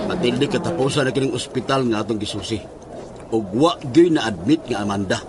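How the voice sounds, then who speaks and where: A man's voice: medium pitch, brisk, very noisy, close to an everyday voice. A man playing an anxious, urgently questioning man in a horror audio drama. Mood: anxious, demanding.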